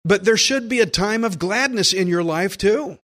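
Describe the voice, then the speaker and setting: Guy giving a sermon, kinda high voice, really earnest, slows way down on the big phrases. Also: a bit bright. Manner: earnest, emphatic, slowing down to stress key phrases. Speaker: preacher. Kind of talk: sermon with scripture readings.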